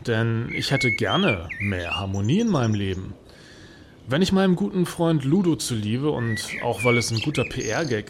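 An actor playing a puzzled, earnest political satirist, musing wryly in an audio drama monologue. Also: male voice, medium pitch. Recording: noisy, with birdsong and clicks.

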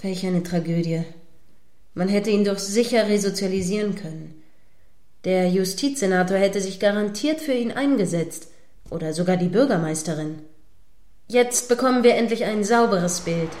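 A woman's voice: mid-range voice, moderate pace, a little mellow, natural voice. A woman playing an indignant, moralizing radio reporter giving live commentary on a standoff in a radio play. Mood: shocked, moralizing.

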